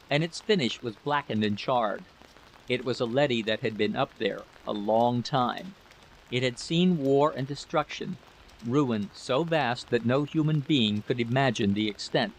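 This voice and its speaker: A man's voice: mid-range voice, unhurried. Male story narrator over noisy hiss. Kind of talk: scripted dramatic story narration.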